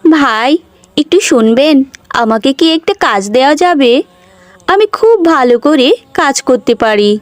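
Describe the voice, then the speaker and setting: A woman's voice: sits high, lively intonation, unhurried, dry recording. A woman voicing a poor, worried mother who speaks humbly in a children's cartoon story. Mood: humble, pleading.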